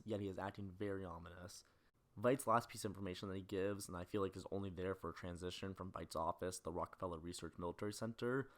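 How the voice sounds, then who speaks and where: A man's voice: lowish voice, a bit bright. A man giving scripted film commentary as a video essayist, in a critical, opinionated manner.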